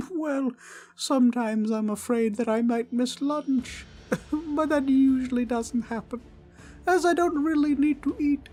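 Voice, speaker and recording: A performer voicing a small, friendly teddy bear, naive and earnest, speaking gently and politely in an ASMR comfort roleplay. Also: high voice, a little slow, faint music behind. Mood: cheerful, naive. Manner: gentle, innocent, polite, chatty.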